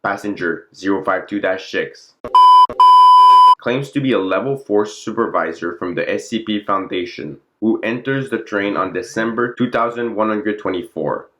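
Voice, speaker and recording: A man's voice: lowish voice, moderate pace, background noise. A man narrating a fictional classified document in a formal, clinical log-reading manner. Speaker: narrator and presenter of the channel.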